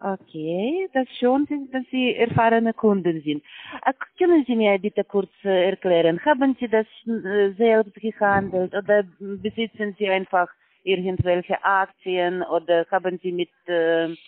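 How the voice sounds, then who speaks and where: A man who is not a native German speaker, making a sales pitch on a phone call in halting, broken German full of fillers. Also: no room sound. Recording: phone line, dry.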